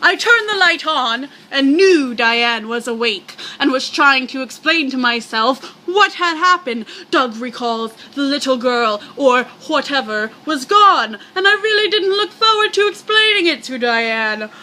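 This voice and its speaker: A woman reading a scary story aloud in a theatrical, halting, playful amateur way, projecting her voice. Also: high voice, animated, a little hiss or hum. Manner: projecting loudly.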